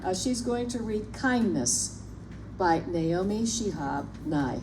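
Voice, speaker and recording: A woman's voice: fairly low pitch, lively intonation, slow pace. A woman hosting a poetry reading, introducing the next reader with prepared, friendly remarks. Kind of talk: scripted introduction at a reading event. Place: bookstore event room. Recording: noisy live event recording.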